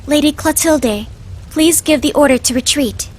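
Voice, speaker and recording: A woman's voice: high-pitched, normal speed, lightly acted. A woman playing an emotionless, obedient young girl agent in a video game, speaking in clipped, formal, literal phrases. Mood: flat, businesslike.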